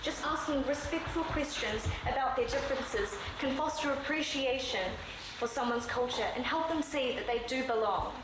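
NZ-teen girl, student speaker, deliberate delivery, high voice, big boomy hall. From New Zealand. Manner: measured, deliberate, poetic delivery. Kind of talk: prepared speech. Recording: very echoey hall with background noise.

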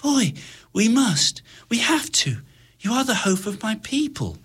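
Man voicing a frightened young girl, very high, hushed urgent whisper, storybook. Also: expressive, moderate pace, slightly rough, exaggerated voice. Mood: pleading.

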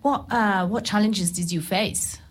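A woman's voice: medium pitch, measured pace. A woman working as an interviewer, speaking in a conversational style.

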